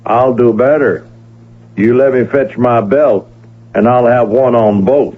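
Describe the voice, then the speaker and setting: An actor playing a reluctant gunfighter in a radio western, plain-spoken and earnest, with a cowboy drawl from the American West. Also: male voice, mid-range voice, steady tone, measured pace, close to an everyday voice. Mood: calm warning. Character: weary reluctant gunfighter cowboy turned peddler, calm and protective. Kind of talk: 1970s radio western acting. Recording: noisy, tinny old radio broadcast.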